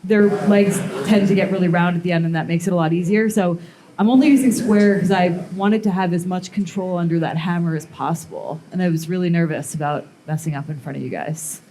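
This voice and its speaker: A woman giving a casual live metalworking demonstration, explaining steps informally and haltingly as she thinks aloud. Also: fairly low pitch, dark tone. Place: live blacksmithing demonstration before onlookers.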